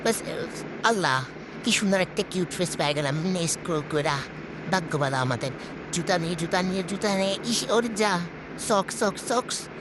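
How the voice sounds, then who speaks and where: Female gaming host, Bangladeshi accent, slightly low voice run through a voice changer, chatty and exclamatory, clear background noise. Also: lively intonation, moderate pace. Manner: chatty, exclamatory, self-talking, repeats words. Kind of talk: casual gameplay commentary.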